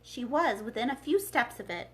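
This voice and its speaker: High-voiced woman reading a storybook. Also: lively intonation, moderate pace, fairly bright tone. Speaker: children's librarian reading aloud. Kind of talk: expressive storybook narration.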